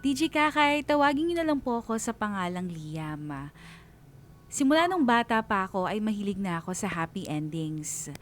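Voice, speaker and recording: A woman with a Filipino accent narrating a scripted first-person story as a radio DJ, reflective and sentimental, with pauses. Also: mid-range voice, sing-song, faint music behind. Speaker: radio DJ reading a listener's story.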